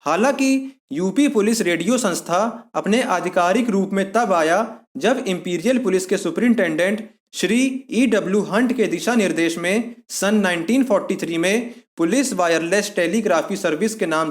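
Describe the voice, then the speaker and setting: A man giving an explanatory lesson as an exam-prep instructor, methodically restating points in simpler words. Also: sits high.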